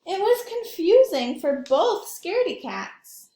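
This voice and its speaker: A woman's voice: very high voice, sing-song, takes it slowly, warm tone, echoey room. A woman reading a picture book aloud to young children, expressively, adding simple asides as she goes. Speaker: early childhood read-aloud storyteller.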